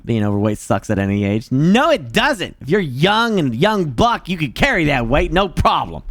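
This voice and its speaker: Animated joking man in his forties. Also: on the high side, normal speed, no room sound. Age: 40s. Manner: joking, animated, self-mocking. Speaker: streamer. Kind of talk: casual chat with viewers.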